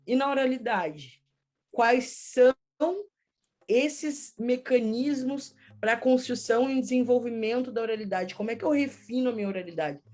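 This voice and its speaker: A woman's voice: a little high, normal speed, a little mellow. A woman with a southern Brazilian accent answering interview questions conversationally and explaining things, often adding tag questions. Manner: explanatory, frequent "né" tags. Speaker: schoolteacher, storyteller and researcher, interview guest.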